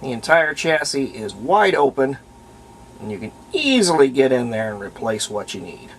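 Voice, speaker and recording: A man's voice: medium pitch, sing-song, moderate pace, slight background noise, low-quality recording. A man giving a casual, unscripted hands-on repair demonstration, plainspoken and thinking aloud as he works. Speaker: host of a radio restoration video.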